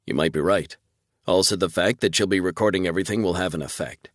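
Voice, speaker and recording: A man's voice: deep voice, fast talker, no room sound, studio quality, close to an everyday voice. A man voicing a wry, weary male space fleet officer in a sci-fi audiobook. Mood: measured. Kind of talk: audiobook character dialogue.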